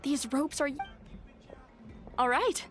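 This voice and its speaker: A woman's voice: sits high, lively intonation, slow pace, a bit bright, soft background music, heavily acted. A woman playing a helpful, flustered young shopkeeper in a video game. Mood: surprised, obliging.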